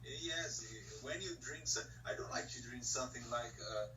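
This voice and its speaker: A man from Brazil with a Brazilian Portuguese accent, an English learner who pauses and searches for words. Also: medium pitch, sharp tone, echoey room, recorded from a distance, a little hiss or hum, low-quality recording. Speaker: English student. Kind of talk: online conversation lesson.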